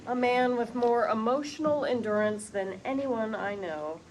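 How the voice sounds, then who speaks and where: A high, heartfelt voice of an effusive woman friend played by an actress in a comedy-drama. She speaks emotionally and sentimentally to those close to her. There is faint room noise behind her. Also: measured pace, lightly acted, rough recording. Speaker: actor at a script read-through.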